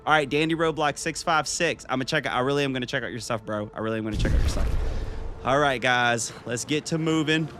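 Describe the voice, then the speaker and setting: A man's voice: mid-range voice, fast, bright, forceful tone, soft background music. A man in his thirties chatting casually with viewers while playing a game on a live stream, upbeat and talkative. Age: 30s. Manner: chatty, upbeat, reads chat aloud and talks to viewers. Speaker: gaming streamer.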